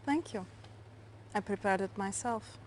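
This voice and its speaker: Cold female Soviet intelligence chief played by an actress, game cutscene: medium pitch, controlled, menacing, slightly noisy recording. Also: unhurried, lightly acted. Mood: coy, softly polite. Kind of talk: video game cutscene acting.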